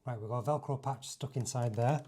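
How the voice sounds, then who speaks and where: A man's voice: medium pitch, a little fast, warm tone, slight background noise. A man giving a casual hands-on demonstration, thinking aloud informally as he works through each step. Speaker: motovlogger presenting a fitting tutorial.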